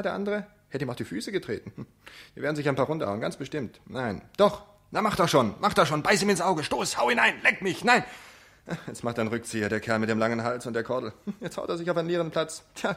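A quick, excitable, chattering onlooker. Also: male voice, mid-range voice. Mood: mounting excitement, then excited recognition. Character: brisk, excitable male bystander chattering to himself. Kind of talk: radio play interior monologue.

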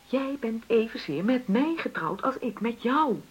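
An actress playing a hurt, argumentative middle-aged wife in a Dutch radio play, with a pointed, insistent way of questioning. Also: female voice, a little high, expressive, unhurried, slightly rough. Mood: insistent reproach.

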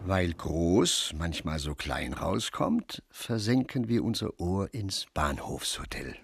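Gruff, animated cynical father. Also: low-pitched, slow pace, heavily acted. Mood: wry. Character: gruff, agitated, cynical father, foul-mouthed storyteller. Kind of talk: radio drama acting.

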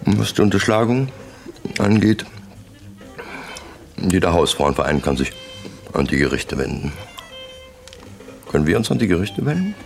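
An actor playing a coldly pompous crime syndicate boss in a radio drama, deliberate, terse and menacing, as if lecturing. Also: male voice, deep voice, big pitch swings, gravelly, faint music behind, exaggerated voice. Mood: lecturing, disdainful.

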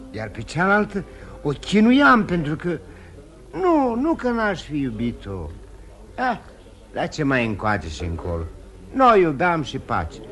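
An actor in a radio play voicing a ruined drinking man who confesses his failed life with self-loathing, halting and repeating himself. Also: a little high, a bit bright, full character voice. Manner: rambling confession, halting with emotional repetitions. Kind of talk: theatrical radio drama acting. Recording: radio play with guitar music behind.

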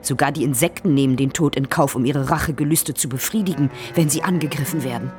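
An actress playing a bitter, vengeful, unsentimental woman in a radio drama, with a low husky voice and a cold, sardonic manner. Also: fast talker, over music. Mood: scornful, vengeful.

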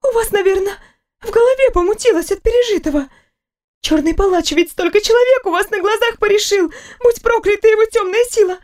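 A woman voicing a fussy, talkative young female nurse with a high, ringing voice. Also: brisk, a little mellow. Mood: chattering.

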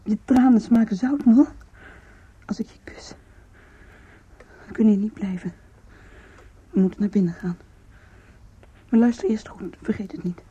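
A woman's voice: mid-range voice, measured pace, pauses often, dark tone, breathy edge, dull audio. An actress playing a tender, commanding young woman in a radio drama, speaking intimately and often in a whisper. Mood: urgent, tender. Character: tender, commanding young woman secretly meeting her sweetheart.

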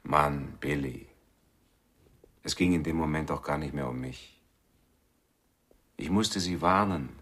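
An actor playing a haunted, defiant jazz pianist on the run in a radio drama, terse and brooding. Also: male voice, a bass voice, slow, pauses often, a bit bright, slightly rough, heavily acted. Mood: urgent, brooding.

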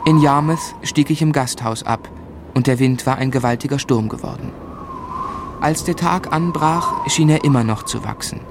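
An actor playing a grave, shaken narrator recalling a tragedy, with measured, dramatic literary delivery in a radio play. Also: male voice, medium pitch, background noise, natural voice. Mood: tense, urgent. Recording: studio radio drama with musical underscore.

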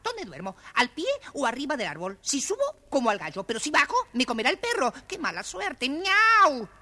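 A woman's voice: high-pitched, very animated, bright, forceful tone, full character voice. A woman voicing a fretful, chatty cat in a children's audio story, with fussy questions and meows. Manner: fussy rapid questions, meowing.

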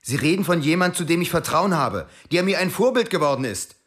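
An actor playing a touchy, proud East German racing cyclist in a radio drama, speaking indignantly and emphatically. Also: male voice, a little high, expressive, a little fast, fairly bright tone. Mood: offended, indignant.

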